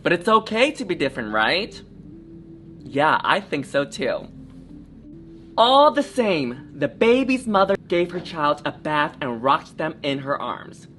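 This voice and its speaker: A woman with a fairly low voice reads a children's story aloud at an unhurried pace. Her delivery is theatrical and very animated, with encouraging questions for young listeners. Music plays behind her. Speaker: drag artist storytime reader. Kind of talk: children's picture-book read-aloud.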